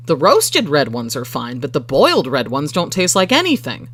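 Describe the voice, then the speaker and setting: A storyteller voicing an excitable, opinionated dinner guest in a short story, speaking emphatically. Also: mid-range voice, normal speed, heavily acted. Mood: argumentative.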